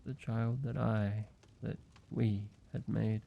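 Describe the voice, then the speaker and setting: A man voicing an ancient vampire in an intimate roleplay audio, speaking in a measured, halting, solemn way, like a weary father telling his past. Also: fairly low pitch, fairly even intonation, vocal fry, dull audio, a little hiss or hum. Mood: somber, regretful.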